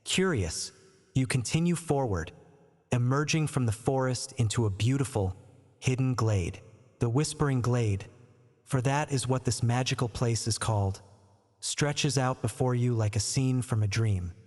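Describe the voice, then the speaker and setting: A man narrating a scripted bedtime story and guided sleep meditation in a calm, gentle, unhurried, soothing manner. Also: medium pitch. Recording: long reverb on the voice.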